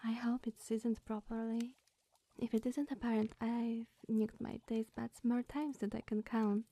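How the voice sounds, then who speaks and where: A woman plays a sweet young witch in an ASMR roleplay, bashful and scatterbrained. Her voice is fairly high yet mellow and breathy, often sinking into a whisper. She rambles slowly and coyly, with little musing hums. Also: level tone. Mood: coy, confiding. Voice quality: soft, breathy.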